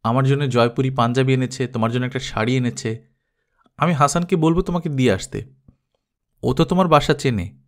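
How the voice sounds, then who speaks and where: A man's voice: medium pitch, fairly quick, long pauses, lightly acted. A man playing a rambling, oblivious elder brother. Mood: awkward, rambling. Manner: rambling, matter-of-fact. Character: talkative middle-class elder brother, wistful, oblivious, easily puzzled.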